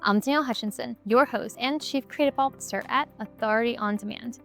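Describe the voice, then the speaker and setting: A woman's voice: a little high, brisk, gravelly, soft background music. A woman hosting the show, reading an upbeat, salesy promo script. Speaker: show host.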